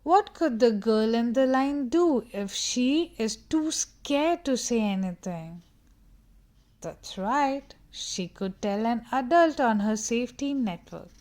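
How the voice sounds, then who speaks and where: Slow, higher-voiced woman reading to children. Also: expressive, a little mellow. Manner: instructive, addressing listeners with questions and pauses. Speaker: children's book read-aloud narrator. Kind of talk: read-aloud storytelling for young children.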